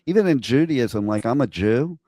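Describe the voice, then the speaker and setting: A man hosting a radio interview, talking eagerly in quick run-on bursts and chatting casually with his guest. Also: mid-range voice, lively intonation, warm tone, dry recording, studio quality. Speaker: radio show host.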